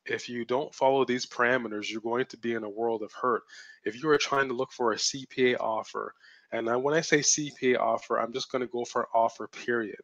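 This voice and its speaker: A man's voice: mid-range voice, fairly even intonation, brisk, fairly bright tone, dry recording. A blunt, assertive man mentors viewers through a live webinar, hammering points home by repeating them. His voice is strained and cracks often. The stream sound is dull and lo-fi, with a dry, close feel. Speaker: webinar host and business mentor.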